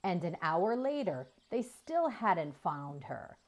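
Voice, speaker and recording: Animated, unhurried woman storyteller. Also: fairly low pitch, lo-fi sound. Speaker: storyteller reading a children's book aloud. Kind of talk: expressive scripted children's narration.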